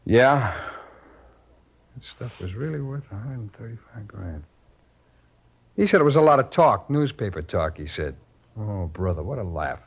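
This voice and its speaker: Cocky low-voiced American crook, dull radio sound. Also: male voice, very animated, normal speed, gravelly, pauses often, breathy edge, full character voice. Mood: bitter, betrayed. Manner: slangy tough-guy talk. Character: cocky small-time male robber, defiant then bitterly betrayed. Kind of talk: old-time radio crime drama acting. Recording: dull lo-fi vintage radio recording.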